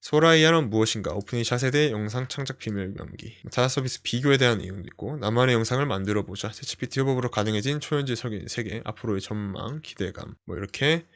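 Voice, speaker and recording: A man's voice: medium pitch, quick pace, few pauses. A young man hosting a screen-share tech tutorial, explaining step by step with frequent fillers. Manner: explanatory, lively, many fillers "어" and "뭐".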